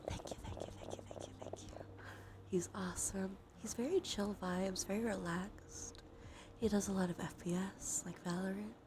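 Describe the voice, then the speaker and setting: Congested woman whispering softly, creaky voice. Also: mid-range voice, background noise. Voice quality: breathy, often dropping into whispers. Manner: gentle, soothing, chatty. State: stuffy nose, congested. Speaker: livestreamer hosting an ASMR stream. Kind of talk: cozy ASMR, casual chat while gaming. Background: soft ambient soundscape.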